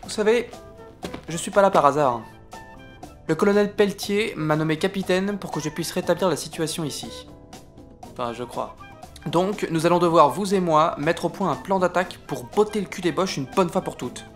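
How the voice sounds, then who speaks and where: A man's voice: on the high side, moderate pace, soft background music, close to an everyday voice. A man acting an irascible, self-important French army captain in a comic audio drama, sarcastic, bossy and coarse. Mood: solemn, pompous.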